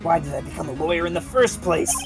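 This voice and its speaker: A woman's voice: deep voice, animated, fast, music in the background. A woman voicing an earnest, idealistic defense lawyer with dramatic resolve in a live visual novel read-through. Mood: determined. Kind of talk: live group voice-over of a visual novel game.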